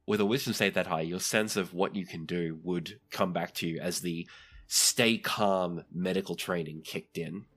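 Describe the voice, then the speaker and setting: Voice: sits low, fairly even intonation, moderate pace, a bit bright. A game master with an easygoing, conversational manner, narrating a tabletop roleplaying game.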